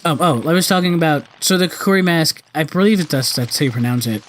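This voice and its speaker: A man's voice: a little high, lively intonation, fast, fairly bright tone, slight background noise. A young man giving casual let's play commentary, rambling and often correcting himself as host. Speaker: gaming video host.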